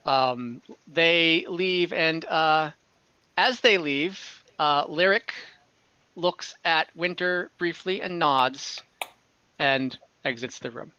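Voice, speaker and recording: Bright-voiced man running a tabletop game, slightly dull audio. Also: a little high, slow pace, clear, resonant tone, dry recording. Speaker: game master. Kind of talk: improvised narration of an online tabletop game. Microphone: online voice call.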